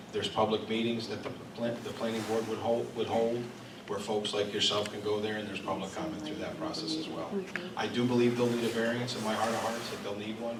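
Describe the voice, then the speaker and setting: A man serving as mayor, chairing a public meeting in a calm, measured, procedural way. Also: lowish voice. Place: village meeting room. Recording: echoey meeting hall, far from mic, noisy.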